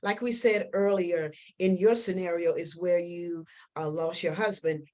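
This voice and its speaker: A woman hosting an interview, encouraging and affirming her guest with lively exclamations. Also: on the low side, unhurried. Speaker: host. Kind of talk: casual interview.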